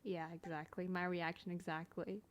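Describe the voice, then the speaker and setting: A woman's voice: lowish voice, flat delivery, moderate pace, noisy background. A woman chatting casually as she reacts to a video game while streaming. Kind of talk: casual gameplay reaction chat.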